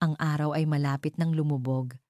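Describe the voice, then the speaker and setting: A woman reading a dramatic first-person story as a narrator, in a measured, deliberate way. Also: deep voice, steady tone, dark tone, no echo, clean studio sound.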